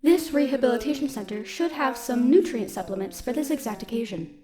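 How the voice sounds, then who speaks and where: A woman playing a stoic, dutiful military robot in an audio roleplay, speaking in a formal, measured, protective way. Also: sits high, lively intonation, crowd noise. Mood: factual, measured. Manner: formal, measured, literal wording. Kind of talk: scripted audio roleplay, speaking softly to one listener.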